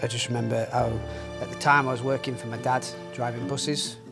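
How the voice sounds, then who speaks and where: A young man, a singer-songwriter speaking softly and reflectively in a documentary interview. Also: mid-range voice, level tone, a little fast. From Northwest England. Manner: soft-spoken, reflective, grieving. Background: guitar music.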